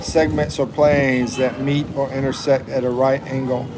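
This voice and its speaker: A man's voice: medium pitch, little pitch movement, normal speed. A man in his fifties hosting a casual livestream, chatting and reading viewer comments aloud, hedging often with "like" and "I think". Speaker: livestream host. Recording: loud traffic noise behind.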